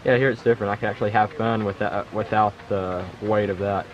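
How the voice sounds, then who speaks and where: A man's voice: lowish voice, steady tone, muffled recording. A man from North Carolina answering interview questions earnestly, often restarting his words. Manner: halting, earnest, with many restarts. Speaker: camper interviewee.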